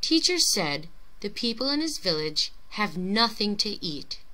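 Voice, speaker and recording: Woman as earnest, bright-voiced little village girl. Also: medium pitch, very animated, measured pace, rough recording. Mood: sad. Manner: earnest, questioning. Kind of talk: children's storybook character reading.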